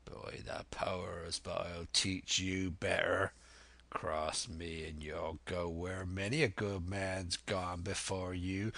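A man's voice: low-pitched, gravelly, pushed and bright, dry recording, pitched below the performer's own voice, exaggerated voice. A man voicing a commanding, threatening old pirate sea cook in a drawling for an audiobook. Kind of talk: dramatic audiobook character voice.

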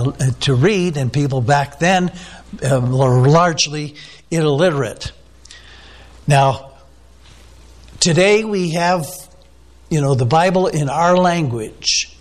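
A man preaching a sermon, teaching off the cuff with many "uh"s and questions to the listeners. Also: on the high side. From United States. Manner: unscripted teaching with frequent fillers, asks the listeners questions. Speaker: pastor.

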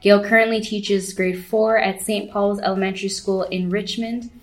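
A woman's voice: medium pitch, flat delivery, normal speed, soft background music. A woman hosting an interview, introducing her guest and asking questions in a friendly, encouraging way. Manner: friendly, encouraging, with many backchannel affirmations. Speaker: interview host.

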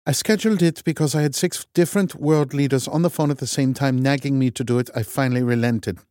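A man's voice: mid-range voice, steady tone, fast talker, a little mellow, dry recording, studio quality, voice pushed up, lightly acted. A man voicing a blunt, clipped woman who is in charge and tired of being there. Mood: curt. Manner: curt, clipped.

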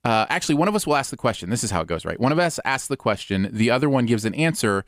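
Playful man with a lively voice. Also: medium pitch, rapid-fire, no echo, studio quality. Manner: playful, jokey. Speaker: co-host of a live stream. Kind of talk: casual chat.

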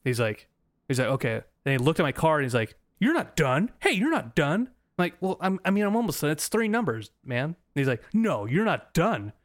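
A man's voice: on the high side, big pitch swings, fairly quick, no echo, studio quality. An American man co-hosting a podcast, telling a travel story in a rambling, laughing way with lots of restarts. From United States. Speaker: podcast co-host. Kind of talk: casual storytelling chat.

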